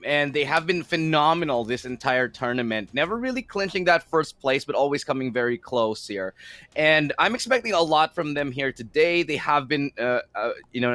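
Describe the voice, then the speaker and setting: A man's voice: fairly high pitch, fairly bright tone, dry recording. Man hosting an esports panel. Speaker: esports caster.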